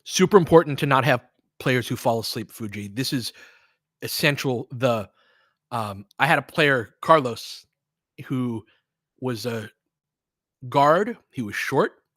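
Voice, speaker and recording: A man's voice: medium pitch, expressive, a little slow, pauses often, fairly bright tone, dry recording, studio quality. A man doing casual live basketball commentary as host, chatting with listeners in a conversational, mildly profane way with trailing asides. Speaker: basketball play-by-play host and coach.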